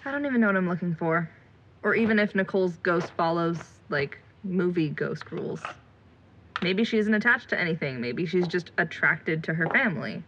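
A woman's voice: on the low side, expressive, moderate pace, a bit bright. A young woman playing a jittery, chatty amateur ghost investigator in an audio drama, talking in casual, rambling slang. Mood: musing, nervous. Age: young adult. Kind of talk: audio drama acting, chatty self-talk. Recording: muffled recording with background noise.

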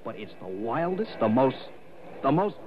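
A man's voice: mid-range voice, big pitch swings, normal speed, close to an everyday voice. An actor playing a breezy, enthusiastic, folksy male insurance agent in a 1960s radio drama. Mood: earnest, excited. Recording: dull old radio, loud outdoor effects noise.

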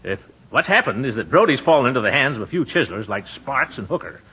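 Pompous, husky, blustering radio-comedy man. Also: medium pitch, big pitch swings, fast talker, pushed and bright, full character voice. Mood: self-important, grumbling. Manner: blustering, chuckling, hesitant interjections. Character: pompous, excitable, self-important small-town water commissioner. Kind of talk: 1940s radio comedy acting. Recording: muffled, band-limited 1940s radio recording.

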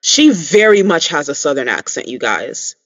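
A man's voice: a little high, sing-song, normal speed, fairly bright tone. A man co-hosting a podcast in casual chat, talking listeners through his points with lots of ums. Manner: chatty, many ums, addresses listeners. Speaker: podcast co-host. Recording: dull lo-fi sound.

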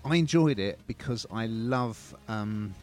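A man co-hosting a review show in a lowish voice. He talks in a relaxed, casual way with a dry, wry humour, over faint music. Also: a little slow. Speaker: co-host reviewing TV and film.

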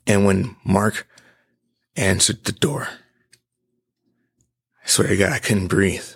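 Man, 20s, podcast host, low gravelly voice, preachy emphatic monologue. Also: slow pace. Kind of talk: impassioned personal monologue.

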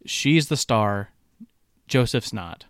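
A man's voice: lowish voice, slow, clear, resonant tone, no echo, clean studio sound. A man co-hosting a casual podcast chat, talking loosely with many fillers and often checking for agreement. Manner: relaxed, filler-heavy, seeks agreement with "right?". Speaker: podcast co-host.

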